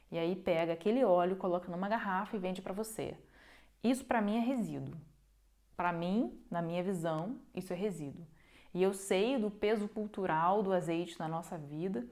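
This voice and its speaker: A woman's voice: mid-range voice, a little mellow. A young woman hosting a casual nutrition livestream, talking emphatically and repeating her points with checking tags. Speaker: nutrition live host. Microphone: phone livestream.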